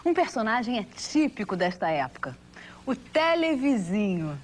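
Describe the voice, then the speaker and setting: A woman's voice: on the high side, sing-song, normal speed, a little hiss or hum. A woman with a Brazilian accent reading scripted documentary narration.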